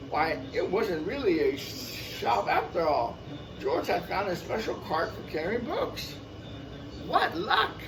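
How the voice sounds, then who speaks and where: Expressive high-voiced man reading to kids. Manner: expressive, repeats phrases for young listeners. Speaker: storyteller. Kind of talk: children's picture-book read-aloud with casual asides. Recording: noisy, picked up from a distance.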